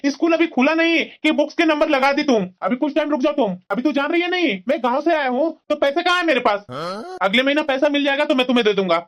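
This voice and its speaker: A man's voice: extremely high pitch, fast talker, a bit bright. Man playing an evasive cartoon husband, dull recording. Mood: irritated. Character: evasive husband and doting uncle, irritable at home. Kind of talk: Hindi moral-story cartoon dialogue.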